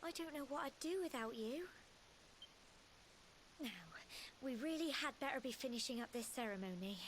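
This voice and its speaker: An actress playing an earnest, excitable young village girl in a video game, expressive and wide-eyed. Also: sits high, normal speed, slight background noise, lightly acted. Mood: relieved. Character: earnest, excitable village girl on her coming-of-age climb. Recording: game audio with loud music. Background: game music.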